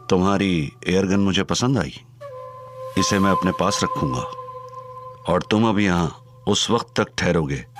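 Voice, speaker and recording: An actor playing a menacing, calm older male gangster in an audio drama, speaking in a cold, sardonic way. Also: sits low. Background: music score.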